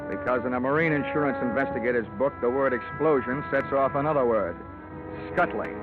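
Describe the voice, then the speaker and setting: An actor playing a wry, hard-boiled insurance investigator, deadpan and wisecracking, in an old-time radio detective drama. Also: male voice, medium pitch, a little fast, music in the background, natural voice. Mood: wry, narrating. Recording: tinny vintage radio recording.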